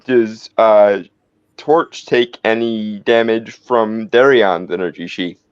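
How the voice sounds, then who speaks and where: A man's voice: fairly low pitch, slow pace, clear, resonant tone, no room sound. A man playing a tabletop game, casually discussing the rules over a muffled voice-chat line.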